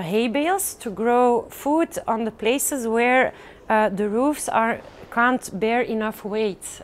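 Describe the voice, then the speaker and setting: A woman's voice: a little high, a little hiss or hum. Woman giving a rooftop garden tour. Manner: halting, many uh fillers and restarts. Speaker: rooftop farm guide. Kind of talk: casual guided tour. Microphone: lapel mic.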